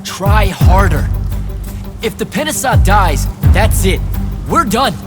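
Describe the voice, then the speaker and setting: A man's voice: sits high, big pitch swings, slow, music in the background, full character voice. A man playing an earnest, hot-headed young hero in a video game, blunt, urgent, pleading and defiant. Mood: determined, urgent. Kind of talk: video game cinematic acting.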